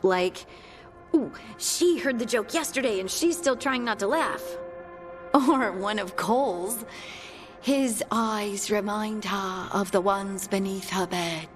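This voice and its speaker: A woman playing a warm, earnest young scout in a fantasy video game, chatting in a friendly, conversational way. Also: mid-range voice, slow pace, faint music behind, close to an everyday voice. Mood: playful. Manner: chatty, friendly, lightly amused.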